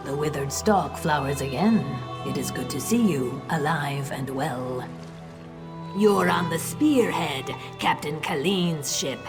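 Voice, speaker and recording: An actress playing a calm, dry-witted female crew member of a ship in a fantasy game, speaking in a measured way. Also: low voice. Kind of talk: fantasy video game acting. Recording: loud music behind the voice.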